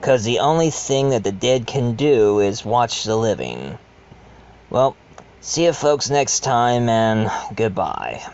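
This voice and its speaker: A man's voice: medium pitch, sing-song, slow pace, a little mellow, smooth. A man playing a murdered doctor's ghost who wryly narrates an amateur mystery audio drama, in a dull recording.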